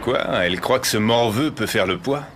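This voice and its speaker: A man's voice: medium pitch, moderate pace, heavy background noise, close to an everyday voice. A man voicing a blunt, dismissive young delinquent sidekick in a French anime dub. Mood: surprised. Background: loud anime music score.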